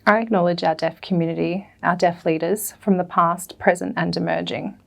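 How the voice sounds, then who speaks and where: A woman interpreting a prepared talk aloud, lower-pitched for a woman and gravelly. She speaks carefully in short phrases. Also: steady tone, moderate pace, a bit bright. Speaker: sign language interpreter voicing a deaf speaker.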